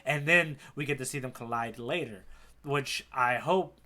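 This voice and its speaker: Bright-voiced, geeky enthusiastic man. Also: mid-range voice, unhurried. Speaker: podcast co-host, the guide. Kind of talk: casual chat about a manga.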